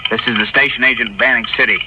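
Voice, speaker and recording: An actor playing a brisk, businesslike railway station agent in a 1950s radio drama, loud and clipped. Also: male voice, mid-range voice, fairly even intonation, natural voice. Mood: urgent. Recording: muffled, very noisy old radio recording.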